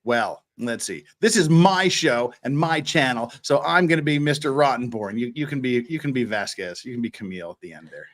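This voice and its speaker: A man's voice: medium pitch, lively intonation, fairly quick, hardly any pauses, a bit bright. A man hosting a casual livestream, rambling and repeating words as he thinks aloud. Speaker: host of an online show.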